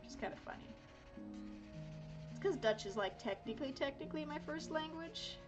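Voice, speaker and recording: Woman, 30s, from Miami, casual livestream chatter full of fillers, raised pitch, quiet music bed. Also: expressive, normal speed. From Miami, United States. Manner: rambling, chatty, lots of fillers and self-corrections. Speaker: art streamer. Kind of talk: casual chat while drawing.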